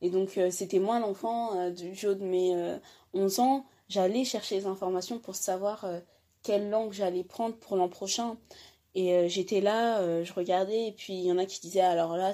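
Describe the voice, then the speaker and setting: A teenage girl, a high school student, telling her own story haltingly with many fillers and restarts. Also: warm tone. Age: teens. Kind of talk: personal testimony.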